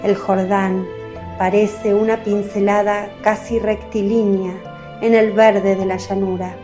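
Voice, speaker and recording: A woman narrates from a script in a soft, mellow voice. Her reading is unhurried and descriptive, with faint music underneath. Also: medium pitch, level tone.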